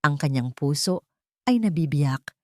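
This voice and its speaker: A woman's voice: low-pitched, lively intonation, a little slow, no echo, clean studio sound. A Filipino woman narrating a scripted romantic drama as a storyteller.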